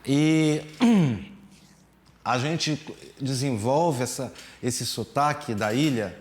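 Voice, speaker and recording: A man from teaches percussion at an unhurried, drawn-out pace. He hesitates often, adds little tag questions, and sounds like he is recording in a boomy room. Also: a little mellow. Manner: slow, hesitant, many fillers and tag questions. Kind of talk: relaxed music lesson explanation. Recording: echoey room.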